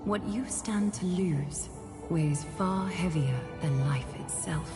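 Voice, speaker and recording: Game role: foreboding female, grave, low register, long reverb with music. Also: slow pace. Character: grave, foreboding woman warning of loss. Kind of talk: dramatic video game dialogue.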